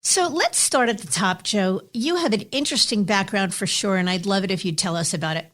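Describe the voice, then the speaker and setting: A woman's voice: medium pitch, fairly quick, fairly bright tone. A woman hosting an interview podcast, reading a scripted intro and asking polished questions. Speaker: podcast host.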